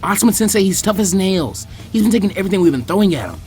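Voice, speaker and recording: A man's voice: high voice, lively intonation, rapid-fire, darkish tone, faint music behind, pitched above the performer's own voice. A man voicing a blunt, feisty teenage girl with a raised, exasperated voice.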